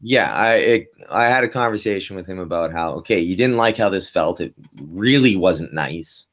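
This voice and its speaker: A young man with a boomy voice, the host, talking candidly about his kids over a video call from a home studio. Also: expressive, moderate pace, a bit bright. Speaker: podcast host. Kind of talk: casual interview chat.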